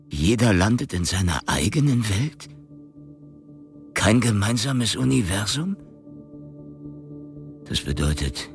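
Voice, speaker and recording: An actor playing a gruff, foul-mouthed, exasperated boss in a German radio drama, sarcastic and blustering. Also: male voice, mid-range voice, fairly bright tone, exaggerated voice. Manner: sarcastic, cursing, blustering outbursts. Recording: studio production with music bed.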